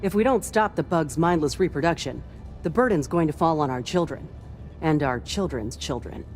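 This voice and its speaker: Female starship officer, low voice, earnest, devoutly patriotic, dutiful, video game dialogue, faint music behind. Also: expressive, normal speed, a little mellow. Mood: proud, devout. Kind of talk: scripted video game character lines.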